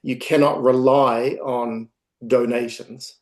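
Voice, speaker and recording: Casting: man with a New Zealand accent, unhurried and halting, video-call presenter. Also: mid-range voice, little pitch movement. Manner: hesitant, many ums and restarts. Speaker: guest speaker, project founder.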